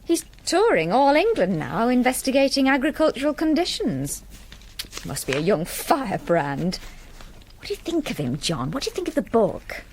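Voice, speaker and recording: A woman's voice: a little high, sing-song, normal speed, darkish tone, a little hiss or hum. A woman playing a curious, chattering farmer's wife in a period radio drama. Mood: eager, probing. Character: curious, chattering farmer's wife seeking her husband's attention.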